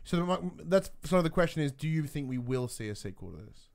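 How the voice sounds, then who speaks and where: A man's voice: fairly high pitch, animated, brisk, vocal fry, darkish tone. A man hosting a casual livestreamed film chat, rambling informally and often restarting his sentences. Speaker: podcast host.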